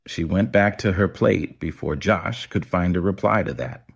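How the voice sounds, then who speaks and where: A man's voice: low voice, moderate pace, gravelly, slightly rough. A man narrating a scripted audio story as its storyteller.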